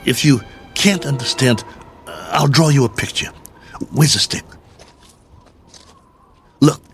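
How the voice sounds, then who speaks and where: An actor playing a worn-out, injured and lonely crash-landed space colonel in a radio drama, talking in halting, emphatic bursts. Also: male voice, medium pitch, animated, faint music behind. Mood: earnest, explaining. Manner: halting, repetitive, emphatic pleading and musing.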